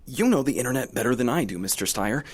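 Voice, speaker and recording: A man's voice: mid-range voice, lively intonation, quick pace, breathy edge. A composed male special agent explains things in an audio drama. His mid-range voice is formal, deferential and matter-of-fact. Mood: measured, official. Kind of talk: radio drama acting.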